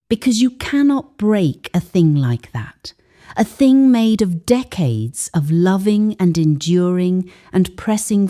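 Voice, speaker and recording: A woman narrating a scripted dramatic story in a solemn, measured manner with deliberate pauses for emphasis. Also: lowish voice, dark tone.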